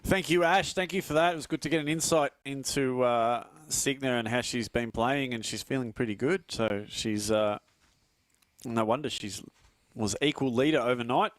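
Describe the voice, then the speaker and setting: A man from Australia doing casual studio commentary for a live stream, chatty and quick to laugh, often stumbling over his words. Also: mid-range voice, dry recording.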